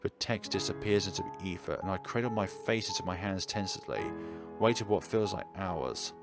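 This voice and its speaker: A man's voice: low-pitched, normal speed, loud music behind the voice. A man reading an anguished, self-blaming teenage schoolboy who pleads and narrates his own story in a visual novel.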